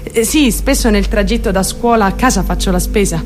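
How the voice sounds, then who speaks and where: A naive, wounded teenage girl played by a woman confides in her listeners in an intimate theatrical radio monologue. She is lovestruck, speaks straight to her audience and leaves dramatic pauses, with music clearly playing under her voice. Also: mid-range voice, natural voice. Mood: hurt, subdued.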